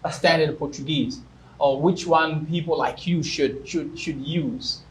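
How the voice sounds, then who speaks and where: A man's voice: on the high side, steady tone, measured pace, slight background noise, rough recording. A man interviewing his guest, who presses him with repeated, probing questions. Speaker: interviewer, English teacher.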